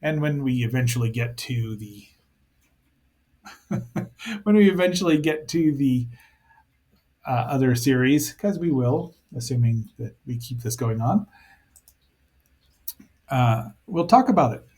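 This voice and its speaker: Man giving halting, playful cartoon commentary. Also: mid-range voice, lively intonation, a little mellow. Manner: halting, thinking aloud, playful asides. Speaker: host of a cartoon review show. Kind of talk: casual rambling commentary on a cartoon.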